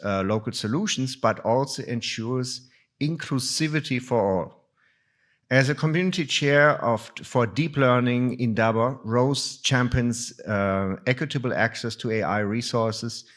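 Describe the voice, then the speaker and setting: A man moderating a conference session, introducing speakers partly from notes in a halting, hesitant way. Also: medium pitch, fairly bright tone.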